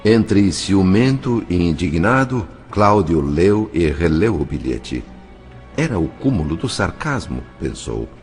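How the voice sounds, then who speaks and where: Low-voiced man narrating a drama. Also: sing-song, a little slow, a little mellow, faint music behind. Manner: formal, literary. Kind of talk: scripted dramatic first-person narration.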